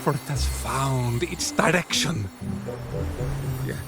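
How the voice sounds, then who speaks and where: A man's voice: mid-range voice, animated, slow pace, loud music behind the voice. An actor playing a smug, melodramatic romantic man in a video game, with a theatrical, declamatory delivery. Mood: passionate yearning. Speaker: companion character in a video game.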